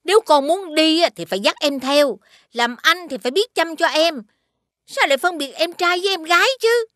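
A woman voicing a firm, caring mother in an animated series, instructive and gently scolding. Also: sits high, moderate pace, no echo. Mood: firm, instructing.

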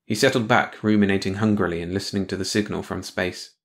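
Male audiobook narrator, fairly low pitch, scripted storytelling, bedtime read-along style. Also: fairly even intonation, fast talker.

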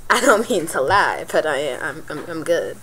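A woman's voice: low voice, normal speed. A woman giving live gameplay commentary, yelping, pleading and cheering as she plays. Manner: excitable, yelps and pleads mid-game. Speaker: gaming channel host. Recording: clicky keyboard noise. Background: keyboard and mouse clicks.

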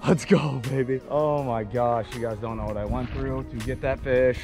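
A young man narrates his own fishing outdoors at an everyday middle pitch, bursting into excited exclamations for his viewers. His voice is caught by an action camera beside a small wooded creek. Clear music plays under the voice. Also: moderate pace. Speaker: fishing vlogger.

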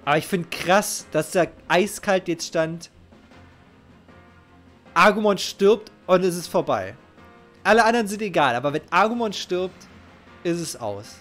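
A man's voice: high voice, animated, a little slow, long pauses, a bit bright. A middle-aged man commenting casually on a game live, emphatic and emotionally invested, repeating his phrases. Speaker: game streamer. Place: indoor home streaming setup. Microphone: close microphone, headset worn. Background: game music.

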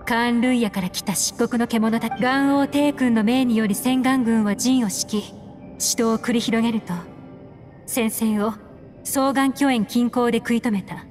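A woman voices a composed, secretive female investigator in an anime game. Her voice sits a little high and her phrasing is measured and poised. Soft music plays underneath. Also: fairly even intonation, close to an everyday voice. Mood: grave, confiding. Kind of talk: Japanese anime game dialogue.

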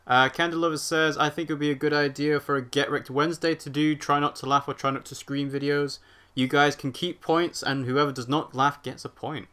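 Bright-voiced man chatting through gameplay. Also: a little high, fairly even intonation, a little fast, smooth. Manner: chatty, reacting aloud and reading chat. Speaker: let's play streamer. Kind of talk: casual live game commentary.

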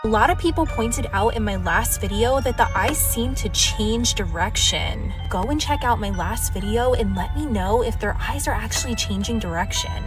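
A young woman tells a story to her phone camera in a slightly high voice. Music plays clearly behind her as she talks. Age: young adult. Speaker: short-video creator. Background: background music.

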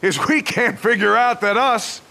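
An actor playing an earnest, principled and weary camp leader in a dramatic game scene, with a folksy, emphatic delivery. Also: male voice, high voice, measured pace, gravelly, bright, forceful tone, full character voice. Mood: outraged. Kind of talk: dramatic video game acting.

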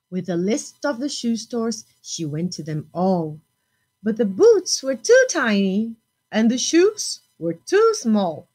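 A woman in her thirties hosting a children's storytime, reading expressively in simple, deliberate phrases. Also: on the high side, a little mellow.

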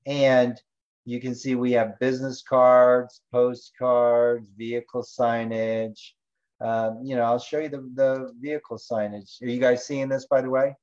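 Guy casually presenting on a web call, rambles and thinks out loud, kinda muffled lo-fi sound, medium pitch. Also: flat delivery, unhurried. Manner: informal, rambling, thinking aloud. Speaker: presenter and host of an online training call. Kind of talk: casual screen-share presentation. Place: online video call. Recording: dull lo-fi online call audio.

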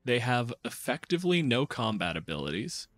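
A man's voice: medium pitch, very animated, moderate pace, a bit bright, no echo, clean studio sound, soft background music. A man hosting a casual podcast in a sardonic, grumbling, exasperated manner. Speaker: podcast host.

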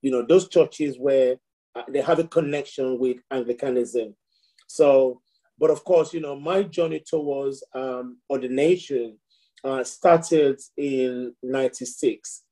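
A man's voice: medium pitch, a little slow, warm tone, rough recording. A middle-aged man, raised in Nigeria, a priest speaking as a guest on a video call, reflective, with many fillers and restarts. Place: home room with shelves.

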